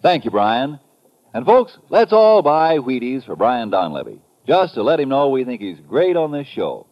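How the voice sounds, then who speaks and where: Very animated male radio pitchman. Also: normal speed. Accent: American. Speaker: announcer and sponsor pitchman. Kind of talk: 1950s radio commercial read. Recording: dull vintage radio recording.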